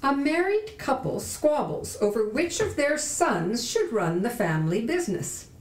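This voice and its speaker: A woman presents in a scripted, dramatic narrating style. Her pace is slow and her pitch rises and falls widely. She sounds set back from the microphone in an echoey room. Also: sing-song, far from the mic.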